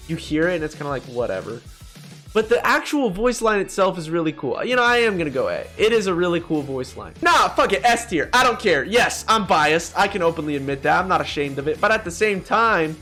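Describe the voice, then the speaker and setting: A young man with a slightly high voice, set over music. He gives casual gaming commentary as a video host, opinionated and full of slang and swearing. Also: sharp tone. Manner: slangy, profane, opinionated, exclaiming. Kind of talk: casual tier-list commentary.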